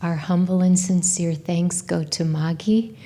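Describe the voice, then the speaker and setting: A woman's voice: fairly low pitch, fairly even intonation, unhurried. A woman play director giving a curtain speech of thanks to an audience. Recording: live event with music and audience noise. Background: music and audience.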